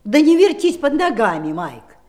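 A woman playing a brisk, stern, cross nanny in a children's audio play, snappish and commanding. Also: high-pitched, sing-song, a little mellow.

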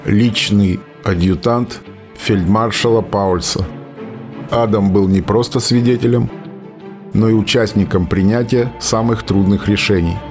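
Low-voiced male narrator over music. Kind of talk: scripted dramatic narration.

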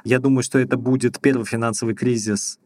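Male podcast co-host, a bit husky, close dry recording, casual reflective delivery. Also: mid-range voice, steady tone, brisk, a little mellow, no echo.

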